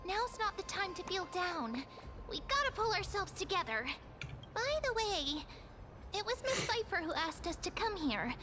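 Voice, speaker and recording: A woman voicing a small, sweet, wistful but plucky girl companion in an anime game, earnest and expressive. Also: very high-pitched, moderate pace. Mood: self-encouraging. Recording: game music behind the voice.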